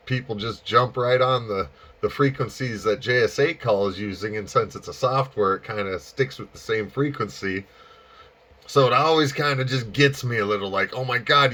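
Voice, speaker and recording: A man's voice: medium pitch, pushed and bright. A man chatting casually and enthusiastically as an amateur radio operator, feeling his way through his phrases. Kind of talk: casual chat over ham radio.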